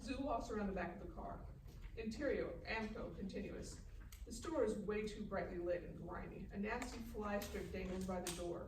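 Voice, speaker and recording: A woman's voice: mid-range voice, far from the mic, background noise. Woman reading stage directions, echoey live room. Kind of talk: scripted narration at a live table read. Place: live festival event room.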